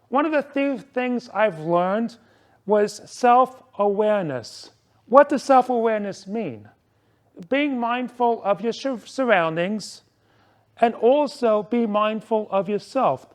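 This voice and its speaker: A man in his forties giving a prepared motivational club speech, deliberate and emphatic, with rhetorical questions and repetition. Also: high voice. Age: 40s.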